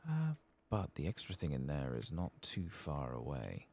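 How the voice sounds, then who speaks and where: A man with an extremely deep, husky voice speaks softly in the ASMR style of a game streamer. His gravelly murmur is unhurried, yet it moves up and down a good deal as he talks himself through the play. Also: huge pitch swings. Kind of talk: soft-spoken ASMR game playthrough.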